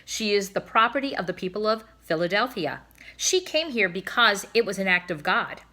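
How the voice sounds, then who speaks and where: A woman's voice: medium pitch, expressive, normal speed, bright, forceful tone. A woman voicing a kindly but firm male zoo bird keeper in a storybook, speaking emphatically and persuasively. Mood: firm, insistent.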